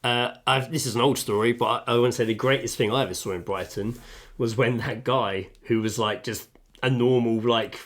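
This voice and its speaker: A man's voice: mid-range voice, steady tone, a little fast, a bit bright. Guy on a podcast, casual banter, keeps cracking up at his own stories. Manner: chatty storyteller, laughs while telling stories. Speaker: podcast co-host. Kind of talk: casual chat. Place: home kitchen.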